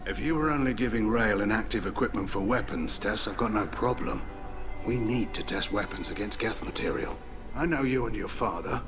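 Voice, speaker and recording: A man playing a gruff, hawkish middle-aged alien admiral in a video game, blunt and familiar. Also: lowish voice, brisk, close to an everyday voice. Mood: blunt, grim. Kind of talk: video game dramatic acting. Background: music.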